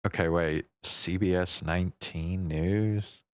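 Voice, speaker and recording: A man with a deep, smooth voice hosts a casual livestream. He speaks slowly, thinking out loud with hesitations and the odd swear word. The sound is close and dry. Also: fairly even intonation, dry recording. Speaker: livestream host. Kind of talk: casual unscripted livestream chat.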